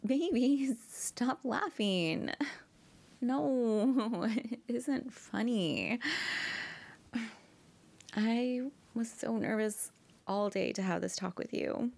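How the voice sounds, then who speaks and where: A woman's voice: a little high, extremely slow, vocal fry, pauses often, darkish tone, heavily acted. A young woman playing a bratty, pouting girlfriend in an ASMR audio roleplay, pleading and often dropping to whispers. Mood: pleading, pouty. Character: bratty pouting girlfriend begging her partner.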